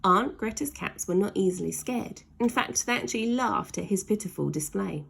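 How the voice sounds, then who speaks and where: A woman's voice: mid-range voice, lively intonation, moderate pace, creaky, fairly bright tone, husky. A woman narrating a children's story aloud in a dramatic way, leaning hard on sound words. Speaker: storybook reader.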